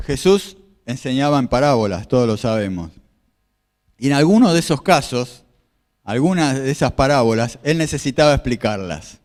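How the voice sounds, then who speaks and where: A male pastor preaches in a plain middle-pitched voice. He explains passages step by step, repeats himself and asks his audience questions. A long reverb of a hall trails each phrase. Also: expressive, moderate pace, a little mellow. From Argentina. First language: Spanish. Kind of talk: sermon with slides.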